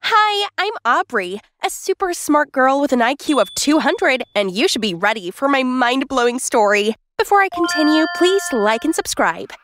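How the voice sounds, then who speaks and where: Cartoon girl prodigy, woman actor: self-assured, highish and animated, scripted storytelling, very dry close sound. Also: dry recording. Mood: perky, boastful. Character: self-assured child-prodigy girl genius telling her life story. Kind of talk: scripted first-person story narration.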